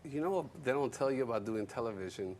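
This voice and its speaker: A man's voice: mid-range voice, expressive, fast talker, darkish tone, a little hiss or hum. A man talking casually as an interview guest, easygoing and telling stories in a conversational way. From Colombian background. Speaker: guest, music executive and show creator. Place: TV studio.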